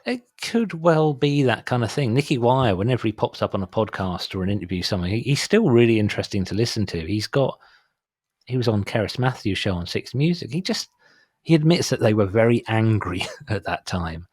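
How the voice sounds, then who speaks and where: A man's voice: on the low side, lively intonation, brisk, darkish tone, slightly rough, dry recording, clean studio sound. A middle-aged man hosting a music podcast in casual chat, making wry, dismissive asides as he reads out a track list. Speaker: podcast host.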